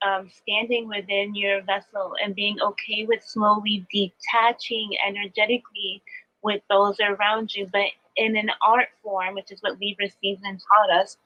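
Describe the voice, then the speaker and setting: A woman's voice: mid-range voice, no echo. Woman doing earnest new age coaching, thoughtful explainer, kinda dull lo-fi audio. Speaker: spiritual teacher, astrology adviser. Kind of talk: live spiritual coaching talk, semi-monologue.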